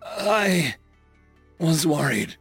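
Injured male captive, played by a man: feeble, gravelly croak, halting and gentle, audiobook drama. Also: a little high, expressive, no echo, soft background music, heavily acted. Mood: weak, tender.

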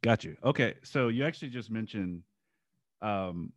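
A man hosting an interview, asking questions in a measured, friendly way with frequent fillers. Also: lowish voice, expressive, no room sound. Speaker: interview host.

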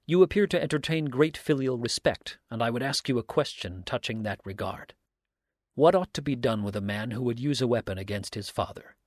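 Man voicing a stern, measured righteous countryman. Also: medium pitch, lively intonation, a little mellow, no room sound, studio quality, lightly acted. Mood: probing, measured. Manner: measured, formal, sermonizing. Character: stern, unhurried righteous countryman, preaching and probing. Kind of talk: audiobook character dialogue.

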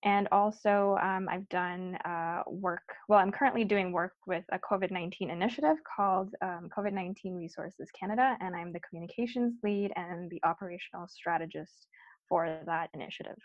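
A woman's voice: lowish voice, fairly quick, no echo. A young woman from Guyana, a guest on a podcast, talking earnestly with many fillers. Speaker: guest, recent PhD graduate. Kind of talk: casual podcast interview. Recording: dull, dry lo-fi sound.

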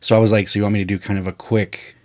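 Low-voiced man telling a rambling story. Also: very fast. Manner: rambling, animated storyteller with frequent "you know" and "uh". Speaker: podcast co-host and screenwriter. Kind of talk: casual storytelling chat.

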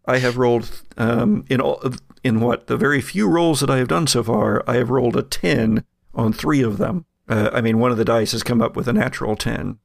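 Male game master for a horror tabletop podcast: deeply creaky voice, deliberate scene-setter, dry recording. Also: dry recording. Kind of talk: narrating a tabletop horror game, with casual chat.

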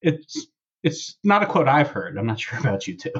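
A man with a husky voice hosting a casual podcast, chatting and reading posts aloud in a wry, sarcastic way. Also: mid-range voice, big pitch swings, normal speed, dull audio. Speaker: podcast host.

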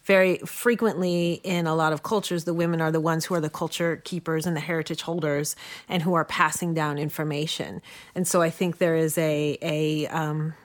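A woman from the United States with a somewhat low voice speaks earnestly in a relaxed group conversation. She often restarts her sentences as she works through her ideas. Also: moderate pace. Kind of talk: casual panel conversation.

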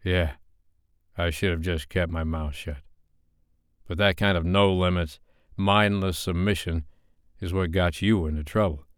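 A man voicing a blunt, stern, irritated man with a deep voice in an audiobook. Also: fairly even intonation, a little slow, pauses often, clear, resonant tone, no echo, studio quality.

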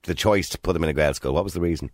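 A man's voice: very deep voice, racing, no echo, clean studio sound. An Irish man hosting a radio phone-in, talking with callers in a conversational interviewing style. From Ireland.

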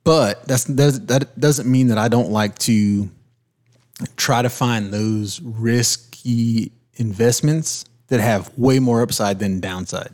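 A man with a middle-pitched voice hosts a casual podcast chat. He argues his point emphatically and cuts in often to push it. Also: slow, darkish tone. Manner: emphatic, keeps interrupting to make his point. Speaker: podcast host.

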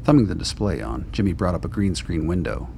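A man reading scripted science-fiction narration for a radio play in a measured storytelling way. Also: deep voice, very animated, creaky, a little mellow, slight background noise, heavily acted.

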